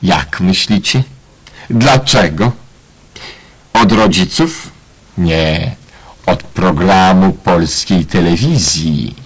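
A man's voice: low-pitched, very slow, long pauses, slightly rough. A man narrating a radio play parody in a mock-solemn, ironic way. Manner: mock-solemn, tongue-in-cheek.

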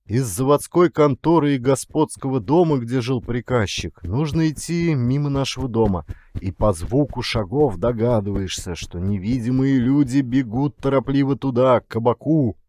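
A man narrating a literary story as an audiobook, with expressive, suspenseful delivery. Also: mid-range voice, normal speed, no room sound.

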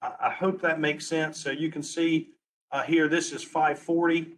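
A man presenting on a webinar as a transportation planner, losing his voice and talking haltingly, restarting his words. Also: fairly high pitch, flat delivery, a bit bright. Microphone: video call.

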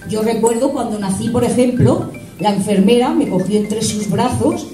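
A woman's voice: a little high, normal speed, distant mic. A woman performing a stand-up set on a small club stage, delivering self-deprecating punchlines into a handheld mic. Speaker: stand-up comedian. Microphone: handheld mic on stage, filmed from the room. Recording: roomy live club recording from afar with music.

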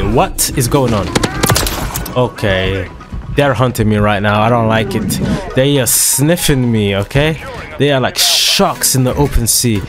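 A man giving excitable, slangy commentary over his own shooter gameplay, his voice a little hoarse from a dry throat. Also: medium pitch. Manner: excitable, slangy trash-talking banter. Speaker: gaming video creator. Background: loud background music and gunfire.

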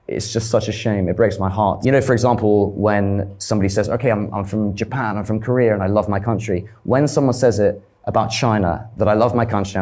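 A man's voice: lowish voice, dark tone. A young man with a London accent, speaking earnestly as a guest on a studio panel discussion. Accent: London English. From London, England. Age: young adult. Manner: earnest, reflective, gestures with hands. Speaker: vlogger and model, panel guest. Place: studio panel stage with audience.

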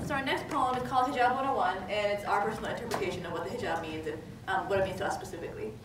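A woman's voice: mid-range voice, racing. A young woman on an indoor stage, presenting and performing spoken word poetry. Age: young adult. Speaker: slam poet. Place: indoor stage in front of a projection screen. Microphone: far camera. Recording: very echoey room, distant mic, noisy.

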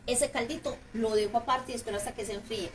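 A woman's voice: a little high, fairly even intonation, fast talker. A chatty woman with a Paisa Colombian accent giving a casual cooking demonstration, often repeating words for emphasis. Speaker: home cook, video host. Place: home kitchen, indoors. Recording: clattering kitchen noise, distant microphone.

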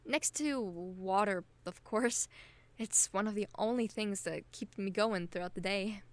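Actress as young woman, medium pitch, caring and teasing best friend, casual intimate audio roleplay. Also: measured pace, natural voice. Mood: fond, chatty. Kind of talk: intimate ASMR-style audio roleplay, casual one-sided chat.

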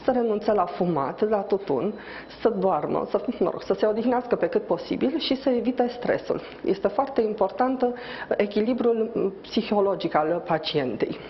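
A middle-aged woman obstetrician explaining things calmly as a guest on a TV interview, with measured speech and frequent hesitant fillers, on a lapel mic in a hospital lobby. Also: mid-range voice. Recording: dull, slightly noisy broadcast audio.

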